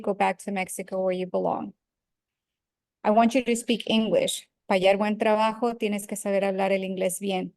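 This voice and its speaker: A woman's voice: medium pitch, darkish tone, dry recording. A woman participant reading a passage aloud steadily on a video call. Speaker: workshop participant.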